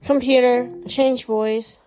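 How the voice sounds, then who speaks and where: Slow-talking woman with a dark voice. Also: a little high, poor audio. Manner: short spoken commands, relaxed explaining. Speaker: presenter demonstrating a smart speaker. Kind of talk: casual demo walkthrough.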